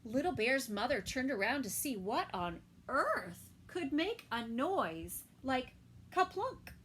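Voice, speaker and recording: A woman teacher reads a picture book aloud to small children, slowly and with a lot of up-and-down expression. She drops into gentle asides and soft whispers between passages. A faint hum of room noise runs in the background. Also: high-pitched, big pitch swings. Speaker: preschool teacher.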